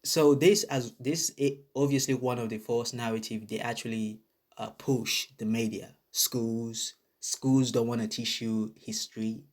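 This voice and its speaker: A man with a Nigerian accent delivers a casual vlog rant straight to camera. His voice sits at an ordinary middle pitch. He talks bluntly, swears freely and hammers the same points again and again. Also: fairly even intonation, a little slow. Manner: blunt, profane and emphatic, repeats points. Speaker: vlogger.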